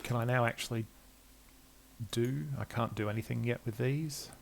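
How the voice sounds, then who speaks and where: An older man giving casual let's-play commentary, musing to himself and reading game text aloud in a low-key way. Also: steady tone, normal speed, vocal fry, slight background noise. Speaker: game streamer.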